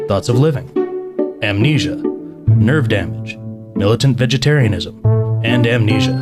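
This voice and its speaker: A man playing a measured, deadpan announcer in a mock pharmaceutical commercial. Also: mid-range voice, lightly acted. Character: male drug commercial announcer with deadpan delivery. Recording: loud music bed behind voice.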